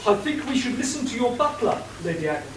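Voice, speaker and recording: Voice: medium pitch, big pitch swings, fast talker, natural voice. A performer playing an earnest, methodical police inspector in a comic radio play, formal and patient. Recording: echoey room, distant mic, faint noise.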